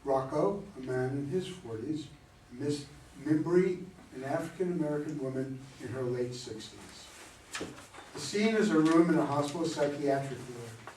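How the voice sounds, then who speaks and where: A man's voice: a little high, normal speed, darkish tone. Man reading stage directions, echoey room. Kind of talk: scripted narration of a play. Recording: roomy, distant mic, faint noise.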